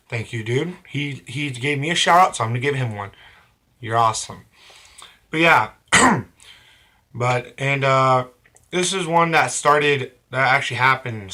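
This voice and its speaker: A young man with a bright voice roughened by a cold talks straight to camera. He tells a confessional story in a halting, rambling way, often stopping mid-phrase to correct himself. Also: mid-range voice. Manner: rambling, halting, frequent restarts and self-corrections. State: hoarse from a cold. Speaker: vlogger. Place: at home.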